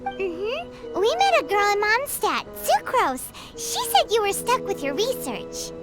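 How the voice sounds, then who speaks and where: A woman voicing a small, childlike, excitable fairy companion in a video game, with a tiny squeaky voice and an inquisitive, exclamatory manner. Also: measured pace, exaggerated voice. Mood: curious. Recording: game music behind the voice.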